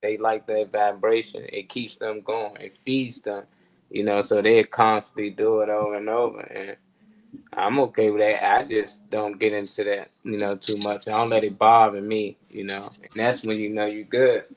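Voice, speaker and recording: A man's voice: on the low side, level tone, moderate pace, low-quality recording. A man chatting casually on a talk show, giving off-the-cuff motivational advice in colloquial, sometimes profane language. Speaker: show panelist.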